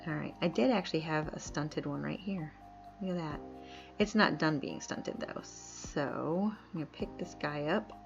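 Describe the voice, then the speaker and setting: A woman's voice: low voice, normal speed, gravelly. A woman from upstate New York chatting casually while live-streaming a game, laughing often and reading chat aloud. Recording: dull lo-fi mic with faint music.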